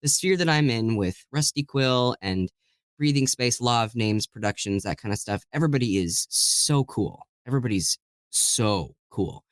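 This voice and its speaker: A man's voice: medium pitch, lively intonation, moderate pace, dry recording. A man with a mid-range voice speaks in a casual, enthusiastic interview style. He sounds like a chatty guest voice actor, recorded close and dry with no room sound. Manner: enthusiastic, chuckles while talking. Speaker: guest voice actor and audiobook narrator.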